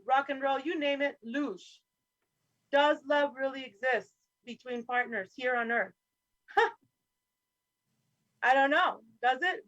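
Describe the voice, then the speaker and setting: A woman with a high voice delivers a fired-up, swearing, rambling rant as a roundtable guest. Her audio comes through a dull, lo-fi remote call with a dry, close sound. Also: fairly bright tone, no echo. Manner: impassioned, profane, rambling rant. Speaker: podcast guest. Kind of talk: roundtable discussion.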